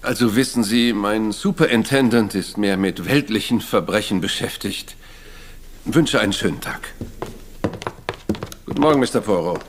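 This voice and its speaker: An actor playing a dry, dismissive police sergeant in a radio crime drama, speaking matter-of-factly. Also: male voice, fairly low pitch, moderate pace, pauses often, slight background noise, close to an everyday voice.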